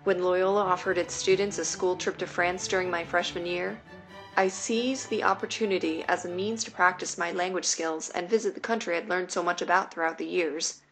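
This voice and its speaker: A woman in her late twenties from New York City, whose first language was Mandarin Chinese, reading a personal essay aloud in a measured, deliberate way. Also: lowish voice, steady tone, fairly bright tone, faint music behind, low-quality recording. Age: late 20s.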